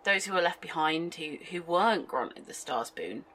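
Cult devotee for audio drama: low female voice, animated, archaic phrasing, curt and cryptic. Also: moderate pace. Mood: challenging. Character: devout, restless female cult member, dismissive and cryptic.

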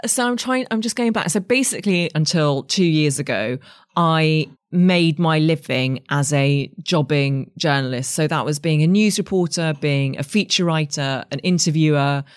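A woman's voice: sits low, moderate pace, clear, resonant tone, dry recording, studio quality. A woman in her forties with an English accent, a writer speaking candidly and thoughtfully as an interview guest. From England. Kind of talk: reflective interview conversation.

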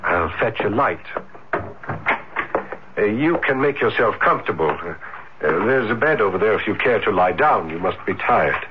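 An actor playing a shy, ardent young dreamer in a 1970s radio drama, hesitant and breathless with florid romantic phrasing. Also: male voice, lowish voice, lively intonation, normal speed, pauses often, lightly acted. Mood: polite, nervous. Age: young adult. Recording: muffled noisy old radio broadcast.

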